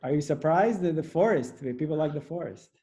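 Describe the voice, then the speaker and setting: A man's voice: on the high side, expressive, a little fast, dark tone. A man moderating an online webinar over a video call, introducing the next speaker with many fillers.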